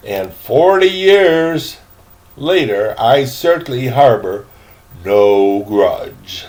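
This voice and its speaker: Grandpa doing a courteous old gentleman with swooping delivery. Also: fairly high pitch. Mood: proud, conciliatory. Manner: old-fashioned, genteel phrasing.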